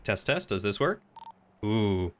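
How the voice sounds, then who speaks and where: A man's voice: on the low side, big pitch swings, takes it slowly, slight background noise. A man chatting casually as he streams a game, often muttering half to himself.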